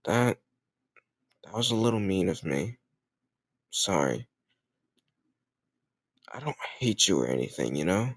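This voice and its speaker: Low-voiced, smug teenage bully played by a man. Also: very animated, a little slow, long pauses, fairly bright tone, breathy edge, no room sound, clean studio sound, heavily acted. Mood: quieter, conflicted. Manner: teasing, smug, hesitant murmured asides. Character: cocky, popular teenage football captain bully, secretly tender. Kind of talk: intimate scripted audio roleplay addressed to listener.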